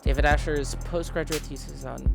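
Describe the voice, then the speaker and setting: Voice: medium pitch, fairly even intonation, a little fast, gravelly, over loud music. A game streamer giving casual, emphatic commentary and reading game text aloud on a livestream. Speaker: streamer hosting a visual novel playthrough.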